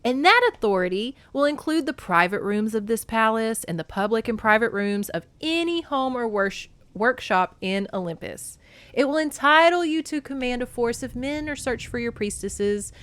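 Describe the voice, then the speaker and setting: A commanding king of the gods, acted by a woman reading a storybook. He is measured, emphatic and defensive, asserting his authority. The recording is dry and close. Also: fairly high pitch, lively intonation, a bit bright, smooth, no echo, pitched above the performer's own voice.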